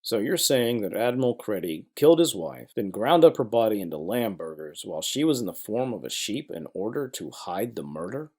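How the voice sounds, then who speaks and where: A man's voice: fairly low pitch, big pitch swings, fairly quick, hardly any pauses, darkish tone, husky, heavily acted. A man playing a baffled, blustery police chief in an audio drama, incredulous and emphatic.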